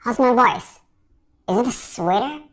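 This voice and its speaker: A man acts out a sweet feminine voice, processed by a voice changer. It is shifted well up in pitch and speaks slowly, in a casual gadget demo. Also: lively intonation, music in the background, exaggerated voice. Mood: playful. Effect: pitch-raising voice changer.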